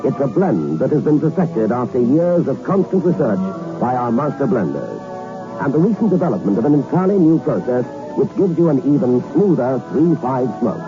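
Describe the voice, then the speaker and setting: A man reading a cigarette ad in a smooth, persuasive 1960s radio style, on an old muffled recording with music. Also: medium pitch, sing-song, moderate pace. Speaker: ad announcer.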